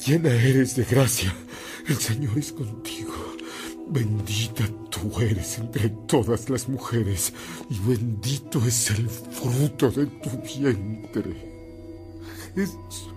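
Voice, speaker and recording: Man acting a slow, solemn Mexican priest. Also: medium pitch, music in the background, lightly acted. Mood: anguished prayer. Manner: solemn, deliberate, heartfelt. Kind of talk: radio drama acting.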